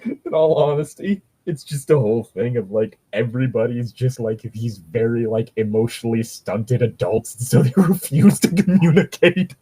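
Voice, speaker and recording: Husky, animated man bantering casually. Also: mid-range voice, normal speed, low-quality recording. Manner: profane, giggly, rambling. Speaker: co-host of a podcast. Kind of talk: casual banter, riffing and joking.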